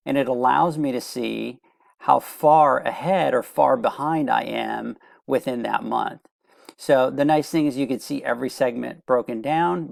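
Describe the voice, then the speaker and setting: A man presents a software walkthrough in a conversational, explanatory manner. His voice has heavy vocal fry and a gravelly edge at a medium pitch. The sound is dry, with very little room in it. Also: no echo. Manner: explanatory, step-by-step. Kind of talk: conversational software tutorial narration.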